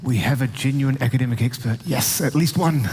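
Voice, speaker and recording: A man with a New Zealand accent hosts a conference panel, quipping and poking fun at himself as he keeps things moving. His mid-pitched voice rings out with a long reverb through a big auditorium. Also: darkish tone, a little hiss or hum. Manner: jokey, self-deprecating, brisk. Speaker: panel moderator.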